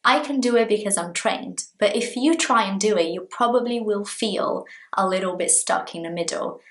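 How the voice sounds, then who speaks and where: A woman from Brazil, a native Portuguese speaker with a Brazilian accent, teaching an English lesson in a slow, deliberate, explanatory manner. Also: mid-range voice, echoey room. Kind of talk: language lesson talking to viewers.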